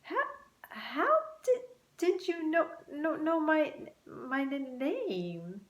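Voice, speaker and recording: A woman's voice: high voice, very animated, drawn-out words, slight background noise, voice pushed up, full character voice. A woman voicing a naive young storybook boy, easily startled and eager, with a hesitant, exclaiming delivery. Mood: astonished. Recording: roomy, echoing room sound.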